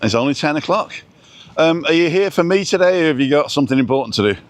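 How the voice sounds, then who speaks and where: A man's voice: a little high, lively intonation, fast. A man from Wales chatting casually on a walk and reading viewer comments aloud, recorded outdoors on a phone. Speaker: live-stream host. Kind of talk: casual chat while walking.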